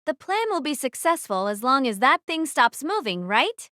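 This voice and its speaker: A commanding young female captain , played by a woman in a fan audio drama, giving crisp, decisive orders. Her voice sits high and lively, with a bright, sharp edge. The recording is dry and close. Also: moderate pace, no room sound, exaggerated voice.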